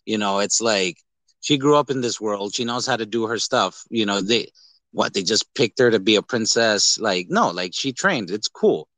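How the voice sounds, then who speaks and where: Bright-voiced man chatting casually about movies. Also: on the low side, expressive, moderate pace, dry recording. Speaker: podcast co-host.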